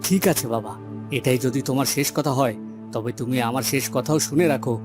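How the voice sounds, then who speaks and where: A man voicing an earnest, resolute young son of a village headman, in melodramatic cartoon style with deliberate delivery. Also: medium pitch, close to an everyday voice. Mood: defiant. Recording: background music under the voice.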